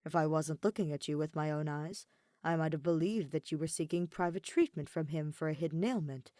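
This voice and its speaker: Woman as a clipped, low-voiced, unflappable male scholar. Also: brisk, warm tone, dry recording, clean studio sound, close to an everyday voice. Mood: cool, needling. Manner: clipped, composed, petty. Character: cool, unflappable, pedantic young male scholar, needling.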